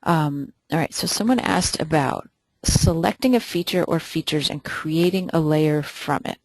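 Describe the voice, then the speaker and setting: A webinar instructor answering viewer questions during a live software demo, hesitant and thinking aloud with many pauses. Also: medium pitch, vocal fry, dry recording, poor audio.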